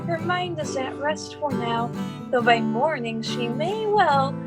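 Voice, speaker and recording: A woman playing a storybook narrator, giving measured scripted narration in a radio play. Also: high voice, expressive. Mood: calm. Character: storybook narrator closing the tale. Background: loud guitar music.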